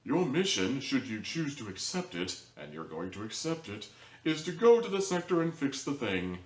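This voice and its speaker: Male performer as bossy, perky sci-fi corporate computer, high-pitched sing-song announcements, echoey room. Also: big pitch swings, normal speed, close to an everyday voice. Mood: officious, chirpy. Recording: roomy echo, distant from the mic.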